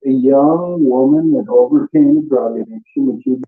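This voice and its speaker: Defensive man on a tinny line. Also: mid-range voice. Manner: halting, repetitive, defensive. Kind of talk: informal argument. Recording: tinny phone-like replayed clip.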